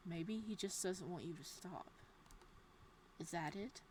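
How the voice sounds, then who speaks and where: A woman reading a horror visual novel's text aloud in a hushed, steady voice. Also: lowish voice, measured pace, slight background noise.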